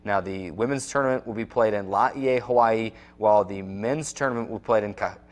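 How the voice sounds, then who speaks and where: A man's voice: fairly low pitch, brisk. A young man hosting a sports show from a studio desk, presenting a semi-scripted recap. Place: small indoor TV studio at a desk.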